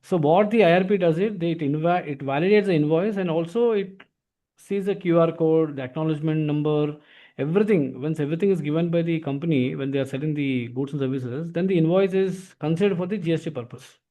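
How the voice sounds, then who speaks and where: Fast-talking Indian-accented man, mellow tone. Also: a little high. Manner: repeatedly tags phrases with "actually". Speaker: instructor. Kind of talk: explanatory software training lesson.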